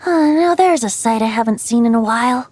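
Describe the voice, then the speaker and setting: A woman's voice: on the high side, lively intonation, fairly quick, a little mellow, slight background noise, natural voice. A woman voicing a youthful, breezy male bard in a video game, with a lilting, theatrical storyteller's manner. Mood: storytelling. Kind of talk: video game character dialogue.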